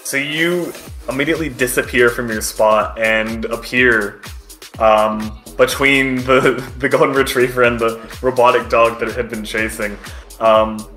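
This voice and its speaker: Bright-voiced man narrating a game. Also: medium pitch, fairly even intonation, soft background music. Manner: halting, descriptive. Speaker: game master. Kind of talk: improvised tabletop game narration.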